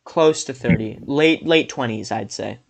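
Young man, podcast co-host, casual chat, joking, rambling, online call audio, mid pitch. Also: a little slow, creaky, husky.

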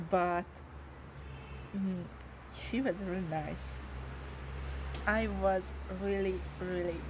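Slow, low-voiced young Hungarian woman. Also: background noise. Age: 20s. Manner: hesitant, with frequent pauses and fillers. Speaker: interviewee. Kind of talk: casual interview answers.

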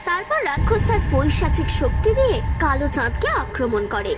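A woman reading a scripted narration for an animated story. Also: high-pitched, normal speed, muffled recording. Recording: music under the voice. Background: music.

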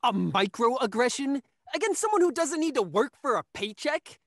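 Man acting a brash, animated young guy. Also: very high voice, moderate pace, no echo, studio quality, exaggerated voice. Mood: angry, sneering. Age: young adult. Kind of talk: audio drama acting.

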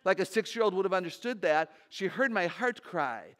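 Older lady with a really low voice telling funny stories at a church conference, big stage. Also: moderate pace. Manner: animated, humorous storytelling. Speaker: conference speaker. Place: large stage.

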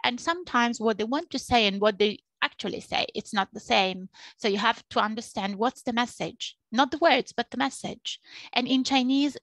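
A woman's voice: medium pitch, a bit bright, dry recording, low-quality recording. A woman in her thirties, a native Italian speaker with an Italian accent, explaining earnestly as an interpreter in a webinar over a headset on a video call. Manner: earnest, explaining, repeats points for emphasis. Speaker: interpreter and Chinese interpreting teacher. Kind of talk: webinar panel talk. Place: small home office.

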